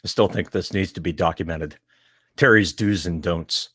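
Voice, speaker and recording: A man with a Southern US accent co-hosting a podcast, talking in an animated, teasing way, swearing freely and laughing loudly. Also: deep voice, moderate pace, breathy edge, dry recording. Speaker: podcast co-host. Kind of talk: casual chat.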